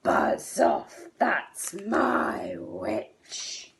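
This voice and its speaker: Slow, growling four-headed beast. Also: female voice, deep voice, huge pitch swings, heavy background noise, voice pushed down, heavily acted. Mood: threatening. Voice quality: growling, breathy croak. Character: terrible four-headed swamp beast, fierce and possessive.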